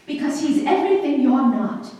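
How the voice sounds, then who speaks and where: A woman acting in a 1940s-style radio drama as a proud, sharp-tongued, theatrical society bride. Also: high-pitched, big pitch swings, moderate pace. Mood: indignant. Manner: haughty, theatrical. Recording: echoey hall from far off, faint noise.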